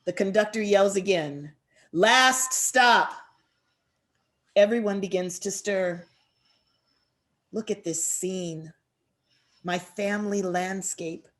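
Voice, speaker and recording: A woman author presenting and reading her poetry in a measured, expressive way. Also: mid-range voice. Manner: measured, deliberate, expressive delivery.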